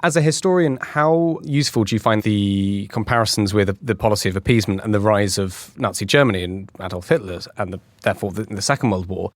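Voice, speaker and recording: Guy doing an interview, fairly deep voice, thoughtful questions, no room echo. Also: brisk, hardly any pauses, faint music behind.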